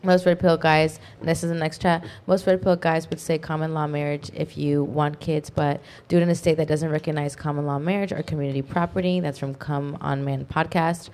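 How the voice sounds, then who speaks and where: A woman co-hosting an online talk show, reading viewer comments aloud quickly, with frequent fillers. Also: deep voice, flat delivery, darkish tone. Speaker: co-host reading super chats. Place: online video podcast studio.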